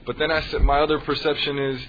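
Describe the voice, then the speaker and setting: A man from the United States, a Spanish teacher, speaking earnestly and measuredly with frequent pauses in a panel discussion. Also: fairly high pitch, little pitch movement. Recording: dull lo-fi recording, faint noise.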